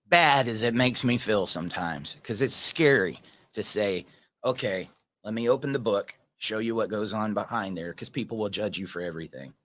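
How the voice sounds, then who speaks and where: A man's voice: on the low side, pushed and bright. A man hosting a casual livestream, reading viewer comments and talking earnestly in a rambling way, addressing viewers by name.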